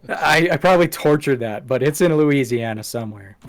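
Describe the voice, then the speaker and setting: A man's voice: medium pitch, expressive, brisk. Male podcast host, rambling and casual. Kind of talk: casual podcast chat, going through show stats.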